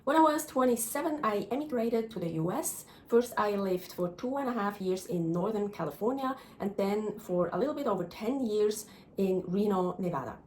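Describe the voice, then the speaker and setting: A woman's voice: mid-range voice, animated, normal speed, darkish tone, a little hiss or hum, poor audio. A woman in her forties from Switzerland with a Swiss German accent, talking candidly and casually as a travel vlogger. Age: 40s. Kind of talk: casual personal vlog narration.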